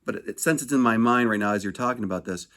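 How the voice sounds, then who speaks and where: A man's voice: lowish voice, fast, fairly bright tone. A man conducting a casual phone interview, asking informal, rambling questions.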